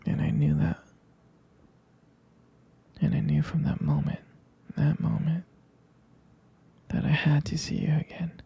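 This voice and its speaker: A man playing a devoted, smitten boyfriend in an intimate ASMR roleplay, speaking in a breathy, husky whisper, hesitant and tenderly repeating his phrases. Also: on the high side, completely flat delivery, measured pace, long pauses, muffled recording, full character voice. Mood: tender, wistful.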